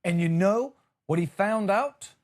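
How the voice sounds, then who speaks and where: A man's voice: sits high, expressive, measured pace. A man hosting a comedy show, delivering a conversational monologue with exasperated, emphatic punchlines.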